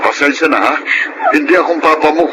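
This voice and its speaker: A man's voice: on the high side, brisk, close to an everyday voice. A man playing an evasive, easily embarrassed father in a Filipino radio drama, hesitant and apologetic. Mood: evasive, flustered. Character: evasive, embarrassed father denying his daughter. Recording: noisy radio drama audio.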